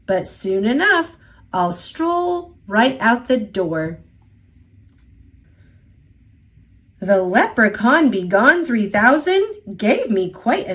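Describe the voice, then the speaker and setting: Woman as tiny ancient male leprechaun, mischievous trickster, taunting, sing-song storybook rhymes, very drawn-out pacing, swooping pitch. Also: a bit bright, full character voice. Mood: boastful, playful. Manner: playful, taunting, sing-song rhyming delivery. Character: boastful, mischievous little male leprechaun, two hundred years old.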